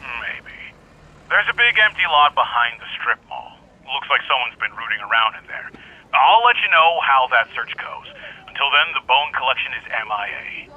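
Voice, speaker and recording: A weary police detective, played by a man, speaks in a guarded, matter-of-fact way. His bright, sharp voice comes through a muffled, dull phone line. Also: medium pitch, sing-song, moderate pace, exaggerated voice. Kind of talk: audio drama acting.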